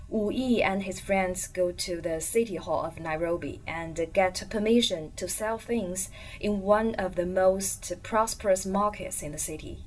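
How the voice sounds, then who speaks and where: A woman reading a scripted documentary narration in a plain, measured way. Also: mid-range voice, recorded from a distance, soft background music, poor audio.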